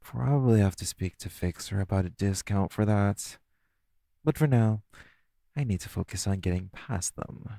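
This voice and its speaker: A man's voice: fairly low pitch, moderate pace, creaky, dark tone, no echo. A man playing a flirty, cocky supervillain master thief in an audio roleplay, teasing and theatrical. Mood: muttering, confident. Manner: teasing, theatrical, purring, chuckling. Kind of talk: audio roleplay acting, intimate monologue to listener.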